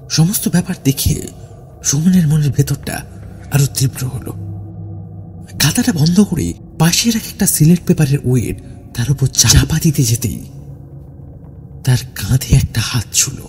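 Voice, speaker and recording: A man narrating a scripted horror story in a dramatic, suspenseful, expressive way. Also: on the high side. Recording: faint steady background noise.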